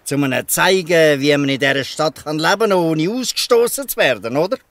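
A man's voice: fairly high pitch, expressive, a little fast, fairly bright tone, dry recording. A shrewd old Swiss German fisherman, swinging intonation. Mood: reproachful, pointed. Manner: pointed, ironic questioning. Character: old fisherman, shrewd, gruff, protective of street children. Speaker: actor in an audio drama. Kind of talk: dialect radio play acting.

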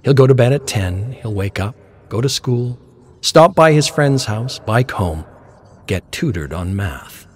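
A man reading game lore as dramatic scripted narration, in a deliberate storytelling manner with dramatic pauses. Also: mid-range voice, animated, a little mellow.

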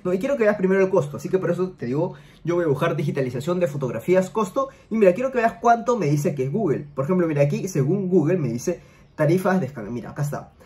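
A young man hosting a screen-share tutorial from a room at home, explaining quickly and tagging his sentences with questions to the viewer. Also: high voice, lively intonation, mellow. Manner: rapid explainer, tag questions. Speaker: money-making YouTuber. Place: home room, seated in gaming chair.

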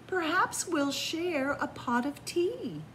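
A woman voicing a gentle, weary elderly male zookeeper with a cold in a picture book read aloud, drawing the words out slowly. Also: high voice, expressive, a little hiss or hum, voice pushed up, exaggerated voice, rough recording. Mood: kindly.

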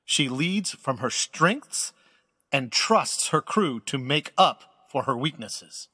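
A man playing an animated, husky old space admiral. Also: mid-range voice, dry recording, studio quality, full character voice. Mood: earnest mentoring. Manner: measured, formal, earnest mentor's delivery. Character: wise old male space admiral, secretive, mentoring, patient. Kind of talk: audio drama acting.

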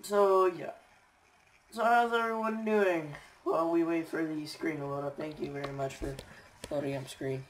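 A young man with a raspy voice and a stuffy nose, rambling tiredly with many fillers as he comments on his gameplay. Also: a little high, fairly even intonation, moderate pace, a little hiss or hum. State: stuffy nose, sore throat.

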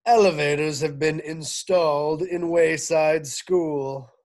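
A blustering, self-important principal barking announcements, loud and a bit raised in pitch, put on by a male reader. He lectures with pompous certainty. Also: slow.

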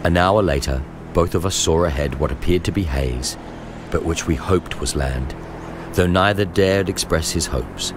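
A man reading a first-person memoir as scripted narration, with measured, deliberate phrasing. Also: low voice, noisy background. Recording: music behind the voice. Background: music.

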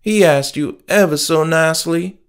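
A man voicing a skinny, sneering male cultist with a high-pitched, mock-polite taunting voice in a horror audiobook. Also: moderate pace, clean tone, natural voice.

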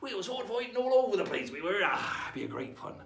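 A man's voice: high-pitched, very animated, fast talker, gravelly, a bit bright, a little hiss or hum, heavily acted. A man playing a jolly, boastful old pirate storyteller, rambling along in a folksy, theatrical way as he hosts a playful lesson. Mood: chatty, instructive. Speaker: host of pirate-themed lessons. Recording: roomy echo.

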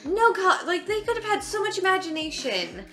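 A woman's voice: very high voice, very animated, a little fast, pushed and bright, soft background music. A woman in her thirties hosting a casual movie reaction chat, informal, giggly and exclamatory, in a living room. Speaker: host of a movie reaction show.

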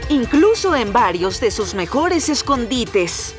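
A woman with a Latin American accent narrating a children's animated story. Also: a little high, slow pace, over music.

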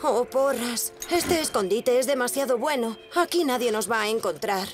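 Lady doing a dramatic stubborn cartoon girl, castilian spanish, high swoopy voice, loud music behind. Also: normal speed, close to an everyday voice. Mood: frustrated. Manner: emphatic, expressive. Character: headstrong, dramatic young girl horse riders. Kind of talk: animated children's cartoon dialogue.

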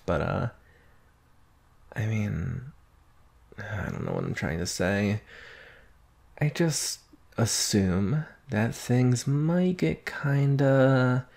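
A man's voice: lowish voice, gravelly, darkish tone, full character voice. A man playing a nervous young werewolf student with a crush, speaking hesitantly and intimately in an ASMR roleplay. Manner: hesitant, bashful, halting, sighing.

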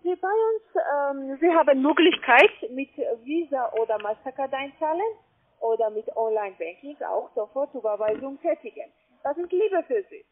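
A call-centre saleswoman with a foreign accent and a very high voice. She speaks haltingly and keeps checking in with short questions. The sound is a narrow, muffled phone line. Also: lively intonation. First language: not German.

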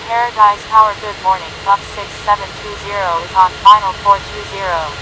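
A woman's voice: medium pitch, little pitch movement, fairly quick, lightly acted. A woman voicing a businesslike airport radio operator in a video game, with formal, clipped procedural phrasing. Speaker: air traffic radio voice. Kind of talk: scripted aviation radio phraseology. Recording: loud jet engine noise. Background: aircraft engines and airport ambience.

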